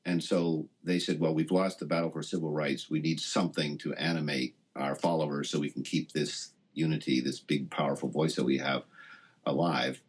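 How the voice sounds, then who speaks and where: A man, a professor being interviewed, explaining things conversationally and haltingly as he thinks aloud. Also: extremely low pitch, steady tone, husky. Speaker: interview guest, professor of science and religion.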